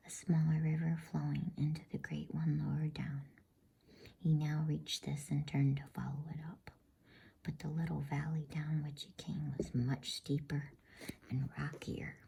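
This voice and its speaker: A woman reading a bedtime story in a soft, unhurried ASMR whisper. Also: sits low. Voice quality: breathy, unvoiced whisper. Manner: soft, slow, unhurried, soothing delivery.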